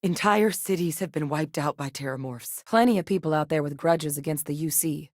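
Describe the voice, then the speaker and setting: An actress voicing a seasoned, determined female military xenobiologist in a video game, speaking in a measured, earnest, matter-of-fact way. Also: sits low, a little mellow, dry recording, studio quality. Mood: grave, focused. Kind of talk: scripted game dialogue.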